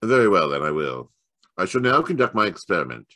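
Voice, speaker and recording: Guy acting old radio mystery detective, lowish voice, really animated, stammery and formal, dry sound. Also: no echo, exaggerated voice. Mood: deliberate, authoritative. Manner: halting, stammering, then deliberate and formal. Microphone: home microphone on a video call.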